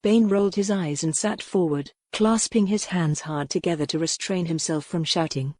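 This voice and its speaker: A woman narrating a historical mystery novel in a measured, wry storytelling way, recorded at a studio mic. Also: lowish voice, darkish tone, no room sound. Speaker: audiobook narrator. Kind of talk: scripted narration.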